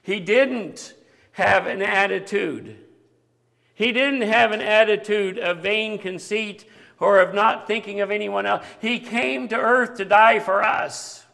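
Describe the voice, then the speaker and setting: Slow, gravelly man preaching in a hall. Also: sits high. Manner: big voice, grows animated at times. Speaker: pastor. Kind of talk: sermon.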